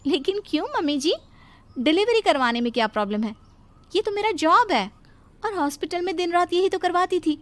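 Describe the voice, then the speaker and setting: A woman plays a self-assured young nurse in a cartoon moral story. Her voice is high-pitched, and she sounds breezy, insistent and quick to brush off advice. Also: expressive, fairly bright tone, dry recording. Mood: defiant. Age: young adult. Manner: dismissive, confident, insistent.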